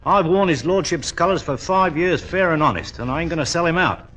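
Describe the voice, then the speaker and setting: An actor playing an honest, plain-spoken working-class English jockey in a 1940s radio drama, earnest and colloquial. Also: male voice, fairly high pitch, brisk, a bit bright, natural voice. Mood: indignant. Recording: very noisy old radio recording.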